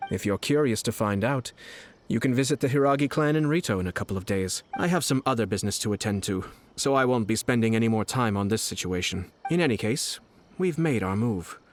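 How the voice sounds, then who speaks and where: A man voicing a poised, shrewd nobleman in a video game, measured, formal and self-assured. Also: on the low side, lively intonation, slight background noise, natural voice. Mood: calm, calculating. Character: poised, scheming young nobleman, calm and shrewd.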